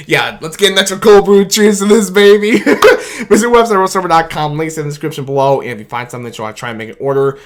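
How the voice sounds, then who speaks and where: Hyped-up man cheering and laughing. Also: medium pitch. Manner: hyped, laughing often, shouting "baby" and "man". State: losing his voice from excitement. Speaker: sports video host. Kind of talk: excited casual sports commentary vlog.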